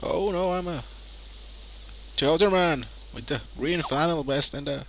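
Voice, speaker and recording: A man's voice: on the high side, unhurried, a little hiss or hum, low-quality recording. Hesitant man in roleplay. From Finland. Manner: hesitant, many uhs and restarts. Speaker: roleplay player. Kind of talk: in-character game roleplay chat.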